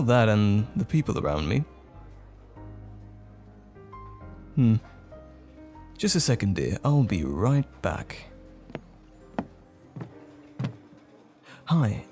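A man playing a tender, smitten date in an intimate romantic ASMR roleplay, speaking gently and hesitantly with lingering pauses, close to the microphone. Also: mid-range voice. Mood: warm, attentive. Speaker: audio roleplay performer.

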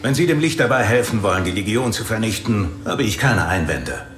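A man's voice: on the low side, lively intonation, brisk, fairly bright tone, echoey room. A man playing a loyal, steadfast warrior of the light, speaking with assurance in an audio drama. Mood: calm, trusting.

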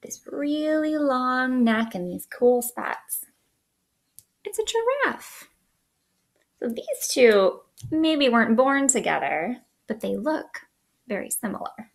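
Slow-paced woman reading to young children. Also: high voice, creaky. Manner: sing-song, expressive, asks children questions. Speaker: children's librarian, storytime host. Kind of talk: picture book read-aloud for young children. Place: small living room indoors.

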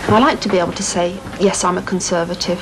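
A middle-aged woman with a Lancashire accent, speaking in a measured, thoughtful way in an interview. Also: on the low side, fairly even intonation, noisy background. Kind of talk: reflective interview talk. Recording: 1980s TV film with music behind.

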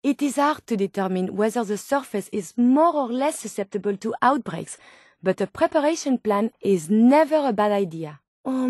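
A woman's voice: a little high, warm tone, no echo, studio quality. An actress voicing a polite, curious and tender female robot scientist in a video game, with a French accent and precise, formal phrasing. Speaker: video game companion character. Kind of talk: video game companion dialogue.

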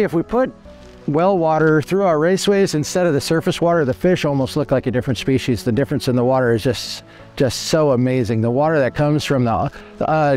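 A man's voice: on the high side, a little mellow. A man in his forties explaining a fish hatchery outdoors by a creek, earnest and matter-of-fact with frequent restarts. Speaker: hatchery manager giving a tour. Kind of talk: on-location explanatory tour.

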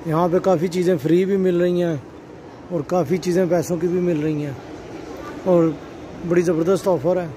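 A man's voice: high voice, fairly even intonation, moderate pace, pauses often, mellow, rough recording. A man in his thirties, a travel vlogger giving a casual tour from a car and then inside a shopping mall, filmed on a handheld phone, often repeating a catchphrase as he points things out. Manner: repeats "check karein ji", chatty tour-guide narration. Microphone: handheld phone camera. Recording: background noise.

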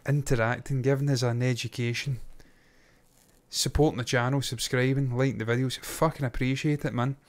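A man's voice: medium pitch, steady tone, a little fast. A Scottish man in middle age talks as a reaction show co-host. He is casual and blunt, swears freely, and speaks close to the mic in a small home studio. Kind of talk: casual review chat. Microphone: close microphone on boom arm.

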